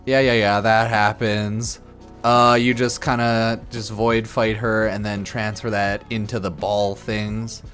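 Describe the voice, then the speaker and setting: A slightly drunk man giving casual, sarcastic game commentary on a stream, blunt and quick to swear. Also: lowish voice, flat delivery, fairly bright tone, faint music behind. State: a bit drunk.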